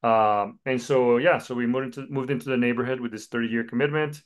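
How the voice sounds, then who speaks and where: A man's voice: medium pitch, steady tone, fairly quick. A man in his forties who grew up in Colombia, a pastor telling his story in a podcast interview, with many fillers. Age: 40s.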